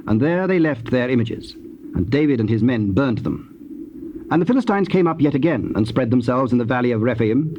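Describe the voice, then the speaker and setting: A man with a British accent narrating scripture in a formal, measured way. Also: mid-range voice, animated. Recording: dull, faintly noisy old cassette.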